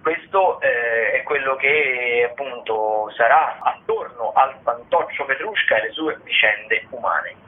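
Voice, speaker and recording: Guy phoning into radio with arts listings, wordy, hesitant, tinny noisy line. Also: medium pitch. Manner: halting, searching for words, wordy. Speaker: theatre radio show contributor. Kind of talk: informal radio rundown of theatre listings. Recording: tinny phone line with noise.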